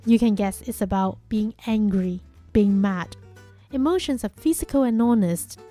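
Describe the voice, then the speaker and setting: A young woman whose first language is Chinese, a podcast host giving a calm, measured, reflective monologue. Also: mid-range voice, soft background music.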